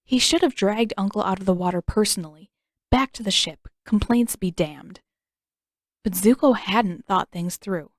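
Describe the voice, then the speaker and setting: A woman's voice: mid-range voice, moderate pace, no echo, studio quality. A woman reading a story as scripted audiobook narration, in the manner of an amateur narrator.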